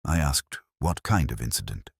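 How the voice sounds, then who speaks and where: Male narrator, very low register with expressive movement, reflective first-person crime fiction, close dry studio sound. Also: husky, no room sound. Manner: measured, literary, reflective. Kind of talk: scripted first-person detective story narration.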